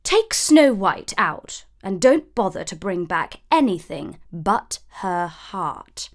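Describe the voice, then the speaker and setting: A woman's voice: mid-range voice, very animated, slow. A woman voicing a vain, wicked fairy-tale queen with a furious temper, imperious and theatrical. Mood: cold, commanding.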